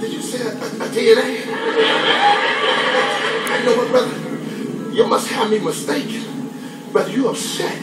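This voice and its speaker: An actor playing a slippery, theatrical preacher in a stage play, with a sing-song church delivery. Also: male voice, very high-pitched, moderate pace, long pauses, distant mic. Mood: nervous, pleading. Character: theatrical, slippery male preacher calling out to his congregation. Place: theatre stage, replayed on a television. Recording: TV playback filmed from across room, echoey, music.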